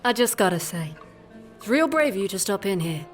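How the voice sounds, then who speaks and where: Audiobook role: tall tigress diner female actor, forward, teasing, medium pitch. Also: sing-song, fairly quick, soft background music, lightly acted. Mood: breezy, teasing. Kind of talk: audiobook character acting.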